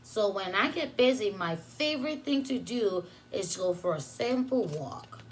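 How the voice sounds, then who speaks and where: A woman's voice: medium pitch, animated, slight background noise, rough recording. A woman reading aloud to young children as a preschool teacher, explaining as she goes and posing simple questions. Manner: instructional, asks questions and pauses for answers. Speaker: preschool teacher hosting story time. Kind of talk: read-aloud story time for young children with teaching asides.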